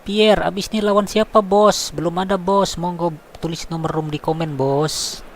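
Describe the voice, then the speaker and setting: A man's voice: sits high, steady tone, a little fast. A man livestreaming a football game, chatting casually with viewers and mixing in English as he plays. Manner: chatty, mixes in English, calls viewers boss. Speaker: gaming livestreamer.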